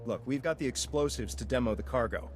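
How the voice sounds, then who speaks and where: An actor playing a worried, blunt soldier on a prison planet in a video game, talking plainly and earnestly. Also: male voice, mid-range voice, fast, soft background music, lightly acted. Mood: urgent, worried.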